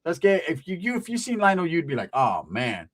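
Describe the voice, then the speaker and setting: A man's voice: high-pitched, expressive, quick pace. A man telling a rambling, profane comic story about family, tagging his lines with "right?".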